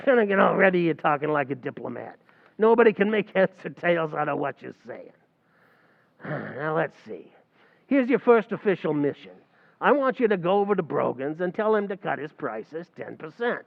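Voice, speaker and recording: Actor as a scheming New barman, high and bouncy, lo-fi radio comedy. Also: sing-song, normal speed, vocal fry. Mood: wisecracking. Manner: wisecracking, mangles grammar. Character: fast-talking scheming male tavern manager. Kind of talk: 1940s radio acting.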